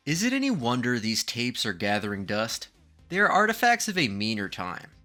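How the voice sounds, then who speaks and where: A man's voice: medium pitch, wildly swooping pitch, normal speed, bright, forceful tone, soft background music. A man reading scripted documentary narration with dramatic, ominous emphasis.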